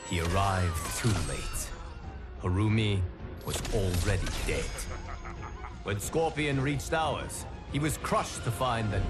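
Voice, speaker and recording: A man voicing a solemn, godlike male guardian of the timelines, narrating game cutscenes in a grave, measured way. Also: lowish voice.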